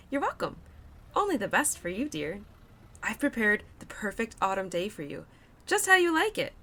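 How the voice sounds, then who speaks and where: A woman playing a playful, affectionate autumn spirit and protective childhood friend in an expressive, chatty audio roleplay. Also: medium pitch, moderate pace, pauses often, heavily acted. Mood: teasing, playful. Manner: expressive, chatty, teasing, with laughs and sighs. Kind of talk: girlfriend audio roleplay acting.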